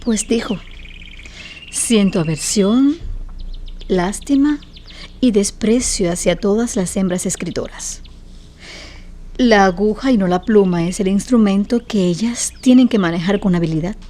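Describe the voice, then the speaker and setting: A woman's voice: medium pitch, a little slow, long pauses, warm tone, lightly acted. An actress playing a witty, proud woman novelist of modest means in a radio drama, speaking with articulate irony. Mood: guarded, indignant. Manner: ironic, articulate.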